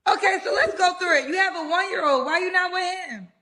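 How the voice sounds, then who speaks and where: A woman's voice: extremely high pitch, brisk, over a noisy crowd. A woman hosting a call-in show, questioning a caller in a direct, probing, informal way. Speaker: call-in dating show host. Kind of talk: interviewing a caller.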